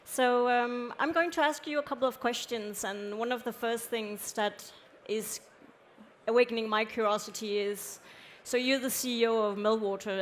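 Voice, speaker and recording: A woman interviews a guest on a conference stage, her voice sitting somewhat high. She asks friendly, curious questions in a conversational tone. A faint hiss lies beneath the recording.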